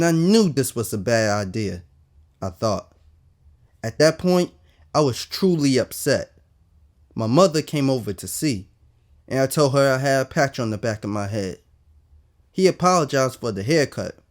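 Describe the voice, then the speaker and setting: A man with a slightly high-pitched voice reads his own life story. He tells it conversationally and candidly, in colloquial language, leaning into emphatic asides as an audiobook narrator. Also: a little mellow. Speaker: author reading own autobiography.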